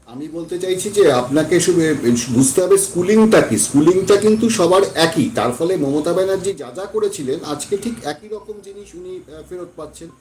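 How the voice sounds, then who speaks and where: A high-pitched male panel commentator with a West Bengal Bengali accent. He explains and rephrases as he goes, his voice sounding roomy and echoing. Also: lively intonation, normal speed, few pauses, a little mellow. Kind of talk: political debate commentary.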